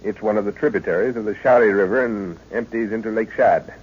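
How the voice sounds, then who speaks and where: A man playing a middle-aged professor and father leading an expedition, measured and authoritative, in old-time radio drama style. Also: lowish voice, darkish tone, breathy edge. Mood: explaining. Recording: muffled, noisy old radio recording.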